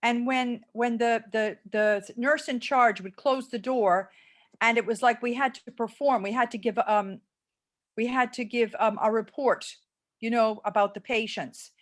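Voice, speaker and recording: An older woman around seventy with a fairly high voice tells her story in a relaxed, rambling way. She sounds chatty and amused, speaking over a video call. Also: measured pace. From born in England, raised in Los Angeles, later Ireland. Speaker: guest, homeopath and former nurse-midwife. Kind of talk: casual interview chat.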